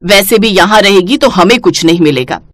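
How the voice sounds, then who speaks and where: A woman's voice: medium pitch, expressive, brisk, no room sound, lightly acted. A woman voicing a nagging, easily embarrassed middle-aged mother-in-law in a cartoon family story. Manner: nagging, chiding.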